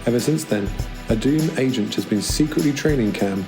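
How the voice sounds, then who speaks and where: A man's voice: mid-range voice, fairly quick, music in the background. A man reading out a scripted character introduction as a player in a roleplaying show.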